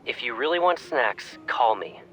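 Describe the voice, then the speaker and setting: A man acts out a young male pilot cadet, affectionate and teasing, in a romantic game story. His voice is mid-pitched for a man, with music behind it. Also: animated, unhurried, bright, forceful tone. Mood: caring, bossy. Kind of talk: romantic game fan dub acting.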